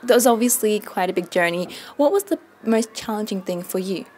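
A young woman reporter, a native Russian speaker, presenting a report and interviewing people with clear, upbeat questions. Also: mid-range voice, expressive, fairly quick, darkish tone, slight background noise. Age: young adult. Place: classroom indoors. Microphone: handheld mic.

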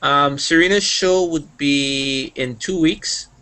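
A man's voice: on the high side, slow pace, bright, forceful tone, smooth, low-quality recording. A middle-aged man co-hosting on a video call, full of praise and often repeating words as he talks. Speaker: co-host. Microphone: webcam on a video call.

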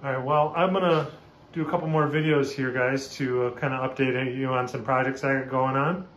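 A middle-aged American man talking casually and haltingly, unscripted, like a hobbyist hosting a vlog in a small room. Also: mid-range voice, fairly even intonation, a bit bright. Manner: rambling, informal, halting. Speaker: video host, hobbyist speaker restorer. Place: small bathroom indoors. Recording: echoey small room, background noise.

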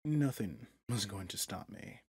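A possessive villain prince played by a man murmurs to his bride in a low, very breathy and husky voice. He is smug and coaxing, tender with menace underneath. It is an intimate ASMR roleplay, recorded close and dry. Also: expressive, darkish tone, no echo, heavily acted. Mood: possessive, seductive. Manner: slow, seductive, menacingly tender.

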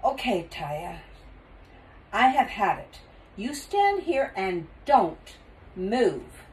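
Woman as blustering father, storybook character, exasperated, exclaiming, animated pitch, background noise. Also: slow pace. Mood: fed up. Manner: emphatic, scolding, exclaiming.

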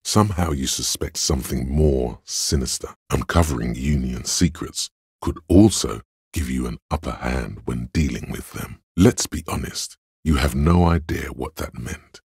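A cool, analytical inner voice of logic from a video game. It is profoundly low and heavily husky, with crackling fry at the edges. The delivery is measured and matter-of-fact, reasoning things through deliberately. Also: male voice, dry recording, studio quality, heavily acted. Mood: analytical, composed. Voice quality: gravelly, husky bass. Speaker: voice actor. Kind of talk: scripted game narration as an inner voice.

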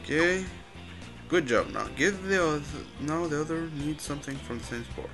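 A man gives casual let's-play commentary, halting and thinking aloud as he plays. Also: a little high, over music. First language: Spanish. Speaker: gamer commentating his play.

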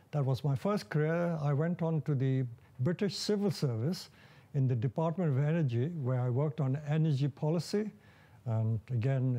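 A man in his seventies, born and raised in India, explaining his life and craft at a measured pace in a documentary interview. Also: medium pitch, mellow. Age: 70s. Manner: measured and explanatory, with occasional fillers. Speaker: bonsai nursery owner. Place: large glass greenhouse full of plants.

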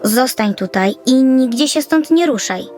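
A woman voicing a tiny, excitable elf in a children's audio story, squeaky and exclamatory. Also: unhurried. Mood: bossy warning. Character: tiny excitable elf with long skinny fingers, bossy, friendly. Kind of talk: children's storybook character acting. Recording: music under the voice.

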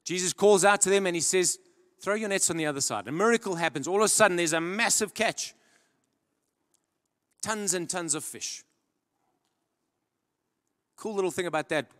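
A young man preaching a sermon on a church stage into a handheld mic, dramatic, swinging between loud emphatic peaks and low quiet drops. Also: normal speed, pauses often. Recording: long church hall reverb.

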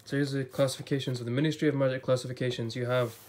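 A man's voice: mid-range voice, flat delivery, rapid-fire, darkish tone. A man, a little off-voice while getting over an illness, flipping through an illustrated book in a casual vlog, chatty and thinking aloud. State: voice a bit off from recent illness. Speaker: YouTuber reviewing a book. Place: indoors at a table before a blue backdrop.

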